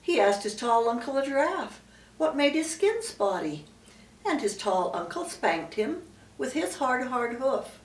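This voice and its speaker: A woman's voice: high voice, very animated, measured pace. An older woman, a great-grandmother, reading a children's storybook aloud with emphatic phrasing and explanatory asides. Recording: roomy, distant mic, faint background noise.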